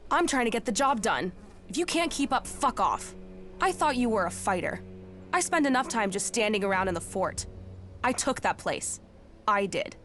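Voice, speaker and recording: A woman's voice: a little high, expressive, moderate pace, a bit bright, slight background noise. An actress playing a brash, impatient, foul-mouthed woman warrior in a video game, blunt and combative. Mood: impatient, defiant. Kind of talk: video game cutscene acting.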